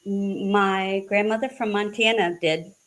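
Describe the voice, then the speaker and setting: A woman from New England with a subtle regional accent, chatting hesitantly and laughing softly as she gets a reading. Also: mid-range voice, fairly even intonation, a little slow. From New Hampshire and Massachusetts, US. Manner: hesitant, frequent soft laughter. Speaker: guest receiving a reading. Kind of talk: casual chat.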